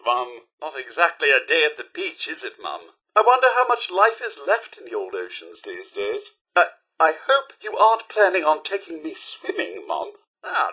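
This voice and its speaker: A man's voice: fairly high pitch, pushed and bright, husky, full character voice. A man voicing a fussy, loyal robot butler in a video game, with a British accent and a formal, theatrical, dryly polite delivery. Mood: loyal, cheerful. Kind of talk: scripted video game character lines.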